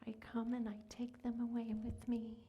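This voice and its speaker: A woman's voice: fairly high pitch, completely flat delivery, normal speed, dark tone, slightly rough, muffled recording. A woman playing a kind, magical nursery fairy in a storybook reader's theater performance, with music behind her. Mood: tender, hushed.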